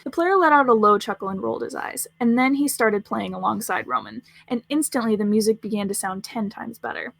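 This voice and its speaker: A woman's voice: on the high side, brisk, creaky, hardly any pauses, a bit bright, no room sound. A woman reading scripted fiction aloud as the narrator of a story podcast.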